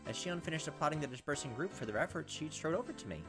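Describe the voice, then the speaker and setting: A man's voice: a little high, expressive, rapid-fire. A man hosting a let's play, reading game text aloud and adding casual, wry asides. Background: game music.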